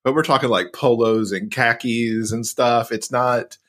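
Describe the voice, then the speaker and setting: A man speaking as a podcast guest in a relaxed, informal conversation. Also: medium pitch, a little slow. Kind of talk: casual chat.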